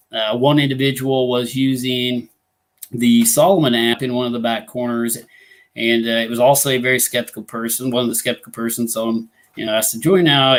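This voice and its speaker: Rambling man hosting a live show. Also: mid-range voice. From Illinois. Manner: rambling, many fillers and restarts. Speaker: ghost hunting group host. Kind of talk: casual live talk show chat.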